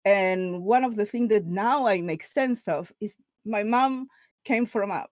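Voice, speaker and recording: A woman from Chile with a Spanish accent, speaking English as a second language, moderating a casual panel chat. She talks in quick bursts, laughs often and restarts her sentences. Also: medium pitch, a little mellow, no echo.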